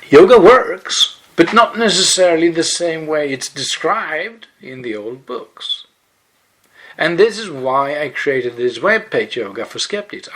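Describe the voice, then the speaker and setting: A man's voice: a little high, sharp tone. A man with a Swedish accent, a native Swedish speaker, explaining things carefully in English with fillers and restarts as the host of his own web series. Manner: deliberate, careful in second language, with fillers and restarts. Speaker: yoga webpage host. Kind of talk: explanatory monologue.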